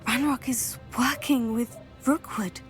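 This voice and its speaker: A woman's voice: a little high, steady tone, slow pace, soft background music. A woman playing a polite, modest, composed student hero in a video game.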